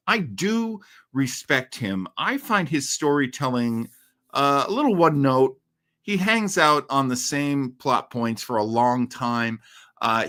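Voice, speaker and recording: Halting man hosting a casual livestream. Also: mid-range voice, a bit bright. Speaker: livestream host of a classic radio horror show. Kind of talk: casual live commentary and chat with listeners.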